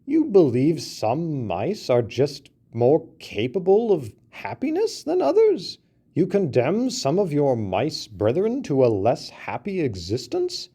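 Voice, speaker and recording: Patient, well-meaning elephant, read by a man, slow delivery with a lively pitch. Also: slightly rough, exaggerated voice. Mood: persuasive.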